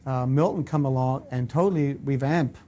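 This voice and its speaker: A man speaking as an archivist in a documentary interview, explaining things in a somewhat halting way. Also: mid-range voice, dark tone.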